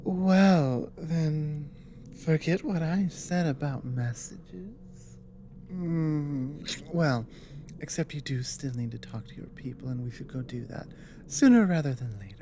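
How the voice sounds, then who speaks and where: Slow, low-voiced dragon woman acted by a woman. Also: a little hiss or hum. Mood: tender, flirtatious. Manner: soft, hesitant, deliberate, with long pauses. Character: earnest dragon woman, careful war advisor and tender lover. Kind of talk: intimate ASMR audio roleplay.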